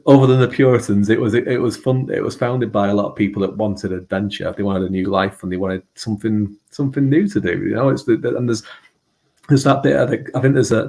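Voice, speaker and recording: A man's voice: lowish voice, darkish tone. A man with a strong Mancunian accent hosting a casual live-stream chat, talking in a rambling way with many fillers and restarts. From England and Ireland. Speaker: host.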